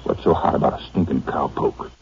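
Radio-play villain: very low American voice, curt and bullying, muffled noisy vintage recording. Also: male voice, brisk, creaky, darkish tone, slightly rough, exaggerated voice. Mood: menacing. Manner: curt, threatening, commanding. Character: menacing, bullying male thug and drug supplier. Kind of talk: 1950s American radio drama acting.

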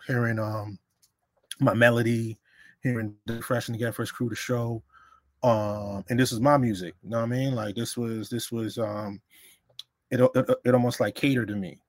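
A man born in Honduras and raised in the Bronx, a hip-hop journalist reminiscing in relaxed casual conversation. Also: mid-range voice, no room sound. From born in Honduras, raised in the Bronx, New York. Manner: relaxed, reminiscing, with many fillers.

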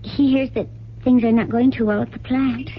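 A woman plays a genteel, gushing wife in a vintage radio play. Her voice is fairly high, polite and coaxing. The recording is dull with faint noise. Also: steady tone, moderate pace, lightly acted. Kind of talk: 1970s radio drama acting.

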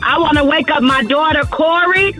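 A woman caller with a high voice gives a radio wake-up shout-out. Music plays clearly behind her. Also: moderate pace. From United States.

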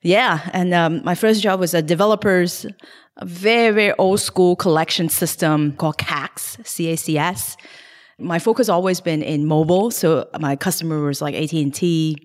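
Lady on a podcast, fairly low voice, brisk career story, echoey long-tail room. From Hong Kong. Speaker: guest investor. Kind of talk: casual podcast interview.

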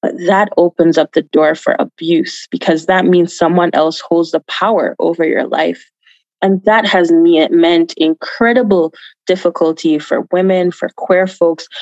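A woman's voice: on the low side, darkish tone, no room sound, highs cut off. A woman from Jamaica giving earnest, emphatic answers as an activist guest in an interview, repeating phrases for stress.

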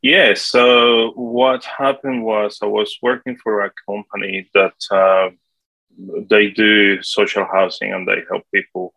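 A man in his forties from Colombia, speaking English with a Colombian Spanish accent, answering interview questions in a halting way with many fillers. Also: fairly low pitch, flat delivery, gravelly, pushed and bright, no echo, rough recording. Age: 40s. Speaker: guest, property manager.

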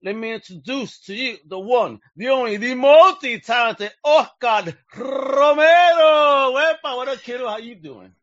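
A man's voice: extremely high pitch, unhurried, hardly any pauses, fairly bright tone, no room sound. A middle-aged man hosting a podcast in casual, slangy, hyped-up chat, sprinkling in Spanish greetings. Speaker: podcast host. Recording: dull lo-fi sound.

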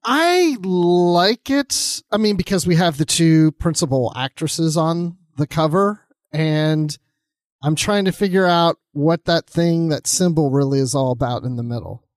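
A man with a somewhat high voice talks casually as a podcast co-host. He sounds conversational, in a very dry recording with no audible room. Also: lively intonation, unhurried, dry recording. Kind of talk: casual panel discussion.

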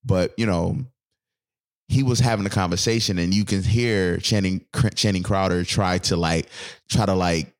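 A man with a low, deep voice talks loosely on a podcast. He wanders through his points, stacking fillers and false starts as he chats with his co-host. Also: steady tone, moderate pace. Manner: rambling, loose, full of fillers and restarts. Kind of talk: casual podcast chat.

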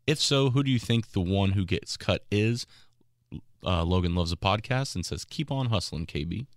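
A man co-hosting a casual sports podcast, relaxed and loose in how he talks. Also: lowish voice, normal speed, no room sound, clean studio sound. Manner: relaxed, laughs easily, loose. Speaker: podcast co-host.

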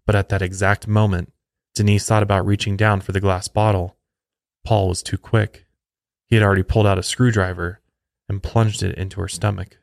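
A man's voice: on the low side, level tone, normal speed, creaky, no room sound, clean studio sound. An American man reading scripted true crime narration as a podcast host.

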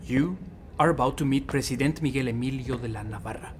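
A man's voice: mid-range voice, fast talker, lightly acted. An actor playing a curt, officious revolutionary henchman in an audio drama, barking and self-important. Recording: noisy scene ambience.

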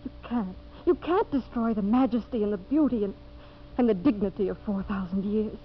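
A woman's voice: mid-range voice, normal speed, lightly acted. A woman playing an earnest, idealistic young American woman, solemn and pleading, in 1950s radio drama style. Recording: muffled, noisy 1950s radio recording.

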